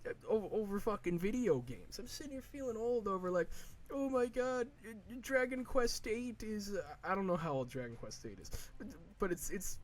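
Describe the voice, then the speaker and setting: A man in his early twenties talks loosely over his gameplay, circling his thoughts with fillers and false starts. His voice sits a little high for a man, and he swears casually between ideas. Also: lively intonation. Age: early 20s. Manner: rambling, full of fillers and restarts, swears freely; slow, drawn-out mock whining in quoted asides. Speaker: gaming video creator. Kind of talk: rambling casual chat while playing.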